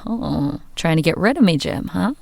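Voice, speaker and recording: An actress playing a guarded grieving daughter, curt and sardonic. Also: female voice, lowish voice, big pitch swings, moderate pace, vocal fry, background noise. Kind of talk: audio drama acting.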